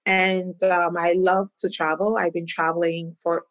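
A woman from Ghana, a guest on a podcast, talks about her work and routines in a relaxed, reflective, conversational way. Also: fairly low pitch, a little slow, dry recording, muffled recording. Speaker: guest, tech professional and founder. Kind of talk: podcast interview.

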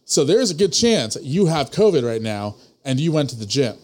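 Animated young man, sarcastic co-host. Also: fairly high pitch, normal speed. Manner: sarcastic ribbing. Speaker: podcast co-host. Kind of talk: casual banter.

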